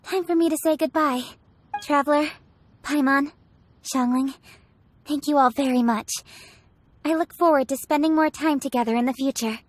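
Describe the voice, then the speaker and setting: A woman's voice: high voice, normal speed, long pauses, a little hiss or hum, natural voice. A woman voicing a composed, earnest young woman in a video game, speaking courteously and precisely. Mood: composed, appreciative.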